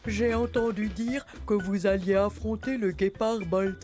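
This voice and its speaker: A woman voicing a calm, wise savanna animal in a cartoon, measured and solemn. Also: mid-range voice, over music, close to an everyday voice. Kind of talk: animated series dubbing.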